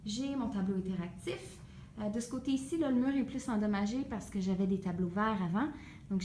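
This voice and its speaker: A woman's voice: mid-range voice, darkish tone, a little hiss or hum. Franco-Ontarian woman teacher in an echoey classroom. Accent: Franco-Ontarian French. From Ontario, Canada. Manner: hesitant, many fillers, friendly explaining. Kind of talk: casual classroom tour vlog. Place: empty classroom.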